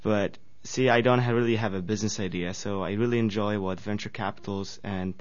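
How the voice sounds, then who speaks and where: A man's voice: lowish voice, steady tone, a little fast. Young man with a Polish accent. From Poland. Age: 20s. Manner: earnest, slightly nonstandard phrasing. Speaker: student guest. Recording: dull lo-fi radio recording.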